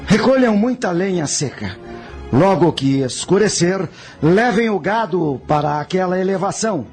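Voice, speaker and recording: A man playing a shrewd, calm Carthaginian general in a radio drama, giving orders with authority. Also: sits high, normal speed, breathy edge, soft background music, full character voice. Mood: commanding.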